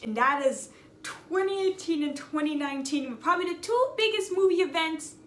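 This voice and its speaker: A young woman telling a casual story in an expressive, slangy way, with dramatic emphasis and repetition. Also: high-pitched. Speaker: storytime video host. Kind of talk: casual animated storytelling vlog. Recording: echoey room with audible background noise.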